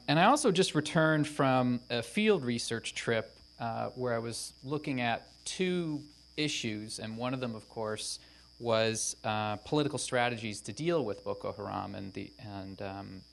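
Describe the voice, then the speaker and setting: Professor talking at a panel over faint hum. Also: male voice, medium pitch, creaky. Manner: conversational, lots of ums. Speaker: professor of African politics. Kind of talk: academic panel talk. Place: conference room. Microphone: microphone at a podium.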